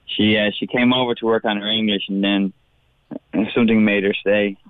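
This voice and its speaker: Low-voiced man on a phone line. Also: little pitch movement, clean tone, no room sound. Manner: upbeat, lists things in quick runs. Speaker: caller. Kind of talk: phone interview. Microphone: telephone.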